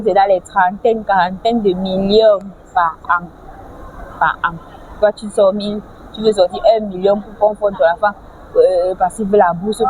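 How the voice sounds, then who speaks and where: A woman's voice: mid-range voice, dark tone, low-quality recording. A woman from French-speaking Africa giving a casual advice vlog, lecturing with rhetorical questions and frequent restarts. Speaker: vlogger. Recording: clear background noise, vehicles.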